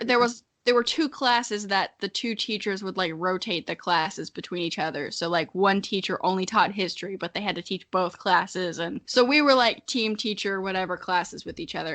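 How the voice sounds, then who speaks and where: Bright-voiced, giggly young woman. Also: mid-range voice, normal speed, hardly any pauses. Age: early 20s. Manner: giggly, informal, often breaking into laughter. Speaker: podcast co-host. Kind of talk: casual chat and dramatic reading of childhood writing.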